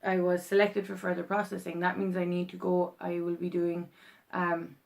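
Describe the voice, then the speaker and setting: A young woman with an Irish accent talks straight to camera in a loose, rambling vlog. Her voice sits a little low and has a gravelly fry running through it. She keeps stopping and restarting with plenty of ums. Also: completely flat delivery, moderate pace, a little mellow. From Ireland. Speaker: vlogger. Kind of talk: casual explainer vlog.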